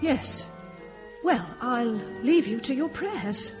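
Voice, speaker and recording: An actress playing a fussy, deferential, pious church housekeeper in a period radio drama, polite and easily flustered. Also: female voice, high-pitched, big pitch swings, takes it slowly, darkish tone, faint music behind, full character voice. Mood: startled, apologetic. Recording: reverberant church, slightly dull sound.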